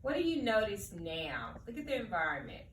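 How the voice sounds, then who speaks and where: Very animated woman teacher, echoey room. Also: mid-range voice, brisk. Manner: prompting, repeats questions. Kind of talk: read-aloud lesson, posing questions to children. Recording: echoey room, distant mic, faint noise.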